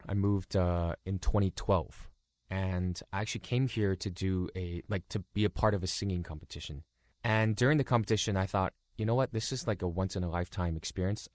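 A man in his thirties from Los Angeles, whose first language was Cantonese, telling his story in a relaxed interview full of "like" fillers. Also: low-pitched, dry recording, studio quality. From Los Angeles, California. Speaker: guest YouTuber.

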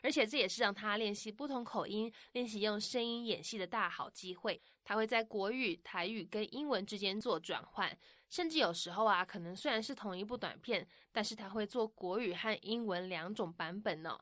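A woman narrating a language-learning podcast in a friendly, explaining way, ending many sentences with soft particles. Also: mid-range voice, a little fast, few pauses, no echo. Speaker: podcast host explaining in Mandarin. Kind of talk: scripted bilingual podcast narration.

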